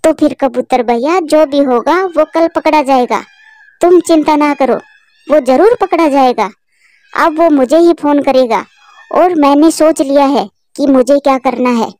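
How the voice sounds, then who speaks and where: A woman's voice: high voice, unhurried, darkish tone, dry recording. A woman doing a clever little sparrow. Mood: confident. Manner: reassuring, confident.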